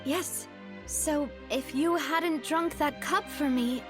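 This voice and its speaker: A woman voicing a young, confident, warm and spirited female syndicate boss in a video game, with a breezy, gracious manner. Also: high-pitched, slow pace, over music. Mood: explaining. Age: young adult.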